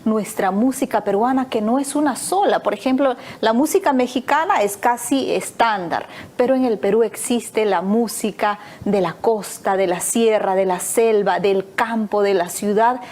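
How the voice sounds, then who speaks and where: A woman's voice: mid-range voice, normal speed, hardly any pauses, darkish tone. A woman hosting a talk show, articulate and opinionated, listing ideas and using frequent fillers as she speaks.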